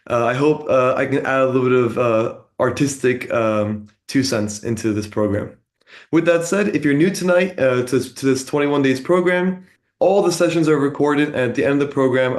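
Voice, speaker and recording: A young man from San Diego, California, hosting an online meditation program in a relaxed, friendly way with lots of uh and um. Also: medium pitch. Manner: relaxed, friendly, with many fillers like uh and um. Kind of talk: casual spoken introduction to a meditation session.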